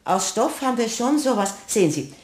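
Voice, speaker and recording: An actress playing a polite, somewhat patronizing shop assistant in a radio play. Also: female voice, on the high side, brisk, reverberant, lightly acted. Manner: politely patronizing, lively intonation.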